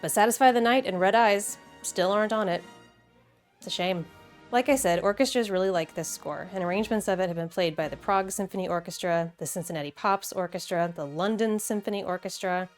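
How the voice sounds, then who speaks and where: A woman's voice: medium pitch, brisk, faint music behind. A woman hosting a video essay in a casual, conversational and enthusiastic way, with wry asides.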